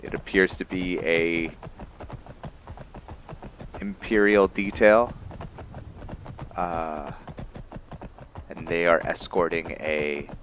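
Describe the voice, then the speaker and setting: A man giving casual let's-play commentary and roleplay narration in a halting, musing way, often correcting himself. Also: sits low, level tone, a bit bright, a little hiss or hum, rough recording. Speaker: host of a roleplay gaming series.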